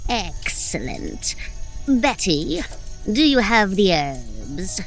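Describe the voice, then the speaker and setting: A woman playing a solemn, easily exasperated coven leader with prim, deliberate delivery in a comic horror audio drama. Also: mid-range voice, very animated, soft background music, heavily acted. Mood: solemn, commanding.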